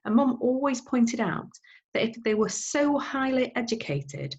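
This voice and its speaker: A woman teacher giving an expressive audiobook-style reading of a children's novel. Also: a little high, measured pace, dull audio.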